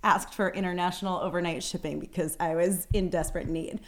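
A woman's voice: on the low side, steady tone, fairly quick, a crowd in the background. A woman co-hosting a podcast, chatting casually, often laughing and using fillers like "um" and "like". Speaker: podcast co-host. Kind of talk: casual chat.

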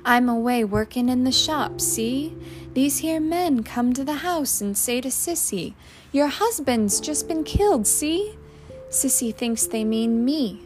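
A woman's voice: high-pitched, animated, unhurried, faint music behind, pitched above the performer's own voice. A woman voicing an indignant, blustering working-class husband in an audiobook story, with slangy, emphatic speech. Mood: aggrieved, explaining. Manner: uneducated slangy speech, punctuates with "see?".

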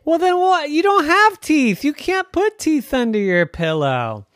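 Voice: high voice, big pitch swings, measured pace, fairly bright tone, no room sound, clean studio sound, voice pushed up, heavily acted. A parent doing teasing know-it-all kids. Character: cheeky young children, mixed.

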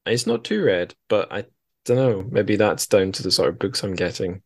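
A man's voice: on the low side, big pitch swings, moderate pace. A man hosting a casual interview, thinking aloud with tentative phrasing and dry humour. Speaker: podcast host.

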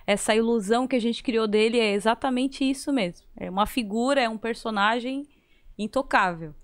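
Casting: woman, higher-pitched voice, Santa Catarina accent, casual anecdotal chat with bits of re-enacted dialogue. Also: fairly quick. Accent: southern Brazilian, Santa Catarina. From southern Brazil. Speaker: guest, singer. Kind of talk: casual conversational storytelling.

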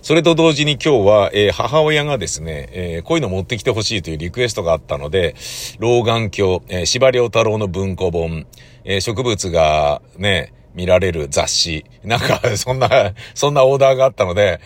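A man's voice: on the low side, lively intonation, a little fast. A middle-aged man in a casual, rambling solo chat, blunt and slangy, acting out his own reactions as he tells stories. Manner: blunt, slangy, self-deprecating. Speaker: audio drama producer and recordist.

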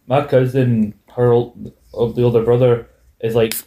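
A man's voice: mid-range voice, flat delivery, normal speed, reverberant, phone-quality sound, a little hiss or hum. A man in his twenties chatting casually as a podcast co-host, rambling with restarts and self-corrections. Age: 20s. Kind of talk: casual chat.

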